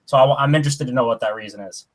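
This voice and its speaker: A man in his twenties hosting a podcast, asking questions in a relaxed way with fillers and restarts. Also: medium pitch, racing. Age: 20s. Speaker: podcast host. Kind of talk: casual podcast interview.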